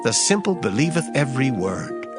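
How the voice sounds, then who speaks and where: Male reader for dramatized scripture, solemn and declamatory, very animated intonation, set over music. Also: medium pitch, normal speed. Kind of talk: dramatized Bible reading.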